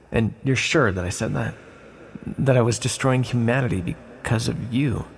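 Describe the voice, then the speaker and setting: A man playing an ancient godlike villain, seductive and softly manipulative, with a gravelly, breathy voice in an intimate fantasy audio roleplay. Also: mid-range voice, long reverb tail. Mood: questioning, dismissive.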